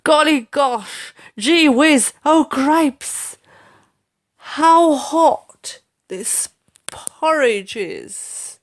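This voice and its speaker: A woman's voice: sits high, lively intonation, extremely slow, pitched above the performer's own voice, exaggerated voice. A woman voicing a hearty, fussy, old-fashioned father from a comic storybook, with a pompous, exclamatory manner. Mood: shocked.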